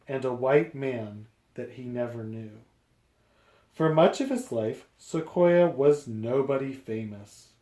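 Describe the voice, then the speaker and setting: A man reading a picture book aloud to young children, explaining deliberately phrase by phrase. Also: a little high, mellow, reverberant.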